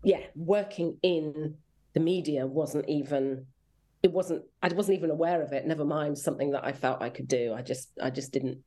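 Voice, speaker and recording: An English woman with a low-pitched voice talks casually as an interview guest. She is chatty and self-deprecating, looking back in a reflective, conversational way. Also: normal speed, mellow. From rural Devon, England. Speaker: interview guest, children's drama commissioning editor.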